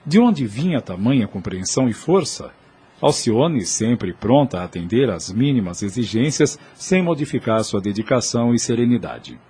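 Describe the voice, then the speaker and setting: A man with a Brazilian accent narrating a scripted audio drama in a husky voice. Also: medium pitch, very animated, moderate pace, dark tone.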